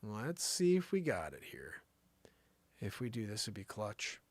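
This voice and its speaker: A man's voice: on the low side, huge pitch swings, a little slow, dry recording. A man hosting a live show with casual, slangy, rambling comedic commentary, often thinking aloud. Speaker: live variety show host.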